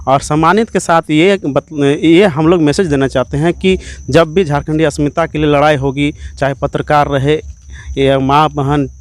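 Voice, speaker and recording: A man's voice: on the high side, steady tone, moderate pace. A man giving earnest, emphatic, repetitive political answers in an interview as an activist.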